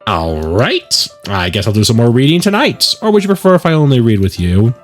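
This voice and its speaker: A man's voice: medium pitch, big pitch swings, fairly quick, soft background music, lightly acted. A man voicing an awkward, well-meaning teenage schoolboy, the casual, self-deprecating lead of a visual novel. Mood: easygoing.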